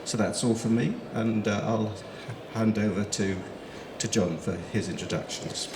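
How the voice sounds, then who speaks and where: A man's voice: on the low side, steady tone, moderate pace. A middle-aged man moderating a conference panel, talking in a rambling way with lots of fillers. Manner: rambling, hesitant, many fillers. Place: large conference hall. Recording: echoey hall with background noise.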